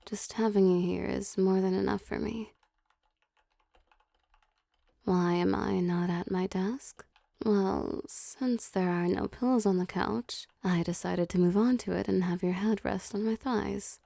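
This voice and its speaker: A woman playing a possessive, doting, wealthy vampire boss in intimate roleplay audio, soft and teasing, often slipping into whispers. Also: mid-range voice, fairly even intonation, gravelly, no echo. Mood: calm, affectionate. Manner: slow, teasing, pampering, softly commanding.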